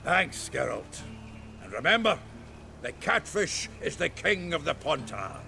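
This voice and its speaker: An actor playing a gruff, jovial, foul-mouthed dwarf in a video game, talking bluntly and heartily. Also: male voice, a little high, sing-song, measured pace, over music, exaggerated voice. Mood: pleased, hearty. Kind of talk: video game character acting.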